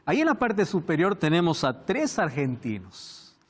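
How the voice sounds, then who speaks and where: A man hosting a Bible study program, speaking in a measured, emphatic way. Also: fairly high pitch, sing-song. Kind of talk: studio presentation.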